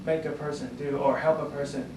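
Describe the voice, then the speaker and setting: A man's voice: a little high, flat delivery, brisk. A man interviewing a guest, rambling and restarting his questions. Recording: echoey room, far from the mic, noisy.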